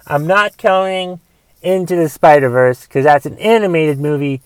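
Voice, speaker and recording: A man with a raspy voice from a cough, rambling casually and with some swearing as he reviews a movie. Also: on the high side, moderate pace, dry recording. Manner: rambling, profane, wondering aloud.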